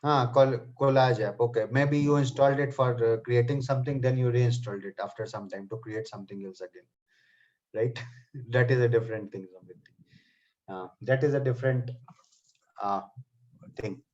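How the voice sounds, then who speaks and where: A man teaching software testing in a live online class. He speaks to students by name and keeps checking in with "okay" and "right". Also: medium pitch, fairly even intonation, moderate pace. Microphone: computer mic on a video call. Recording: slightly dull online call audio.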